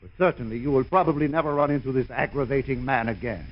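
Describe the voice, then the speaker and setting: An actor playing an earnest, pompous psychiatrist lecturing a patient in an old time radio comedy. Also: male voice, mid-range voice, fast, natural voice. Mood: soothing, pompous. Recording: dull vintage radio broadcast recording.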